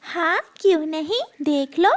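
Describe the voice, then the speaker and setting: A woman's voice: very high-pitched, unhurried. A woman voicing a sweet, innocent little girl bird in a childlike, earnest way for a cartoon. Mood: cheerful. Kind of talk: Hindi moral-story cartoon dialogue.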